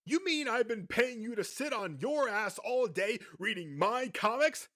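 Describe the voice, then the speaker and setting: A man's voice: expressive, unhurried, bright, forceful tone, heavily acted. A man voicing a panicky adult male comic collector who keeps raising his voice. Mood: panicked. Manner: shrill, panicky.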